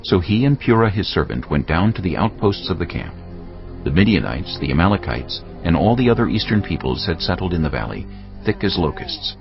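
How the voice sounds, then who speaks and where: A man's voice: low-pitched, sing-song, fairly quick, vocal fry. A man narrating a dramatised audio Bible in a scripted, dramatic storytelling style. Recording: muffled audio under music.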